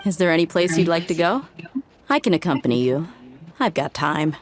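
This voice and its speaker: A woman's voice: lowish voice, animated, fairly quick, lightly acted. An actress playing a composed, dependable young woman in a video game, speaking in a matter-of-fact way. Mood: steady, supportive. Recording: game music behind the voice.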